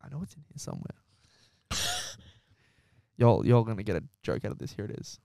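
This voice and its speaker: A man hosting a podcast, telling animated stories in casual chat and swearing freely. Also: on the low side, fairly quick, creaky, no room sound, studio quality. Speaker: podcast host.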